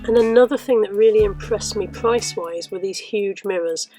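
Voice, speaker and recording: Woman, croaky from a cold, sniffly, chatty haul vlog, self-mocking asides, mid pitch, faint background music. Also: moderate pace, mellow, low-quality recording. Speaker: vlogger.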